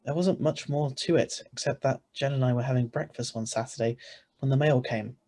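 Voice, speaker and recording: A man's voice: mid-range voice, fairly even intonation, brisk, dark tone, lightly acted. A man playing an ordinary, easily flustered married man who narrates his own story in an old-style radio play, read over a video call. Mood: wry storytelling. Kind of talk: 1940s radio acting read aloud.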